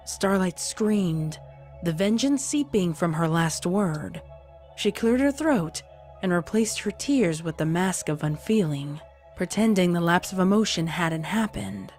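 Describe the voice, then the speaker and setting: A woman's voice: fairly low pitch, expressive, measured pace, darkish tone, faint music behind. A woman narrator reading a scripted dramatic story in prose.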